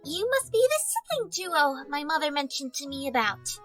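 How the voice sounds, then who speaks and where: A woman voicing a guarded, dry-witted, well-spoken young creature girl in an animated drama. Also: sits high, moderate pace, faint music behind. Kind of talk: animated audio drama acting.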